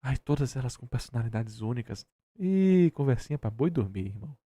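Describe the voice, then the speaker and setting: A man's voice: mid-range voice, sing-song, brisk, dark tone, no echo. A man with a Brazilian accent reacting in a casual, heated debate, blunt and opinionated, repeating himself for emphasis in slangy speech. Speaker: reaction streamer.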